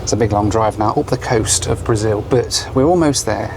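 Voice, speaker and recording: A man filming himself on a long-distance bus, hoarse and run down, talking in a tired, low-key, chatty way. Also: normal speed, noisy background. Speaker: travel vlogger. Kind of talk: travel vlog with voice-over narration. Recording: loud music under the voice.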